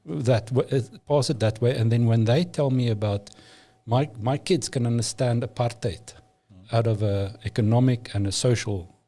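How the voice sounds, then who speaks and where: Man, Afrikaans speaker, mellow tone, emphatic, opinionated, podcast guest. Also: medium pitch, normal speed, few pauses. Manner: emphatic, opinionated, frequent restarts. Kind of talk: casual conversation.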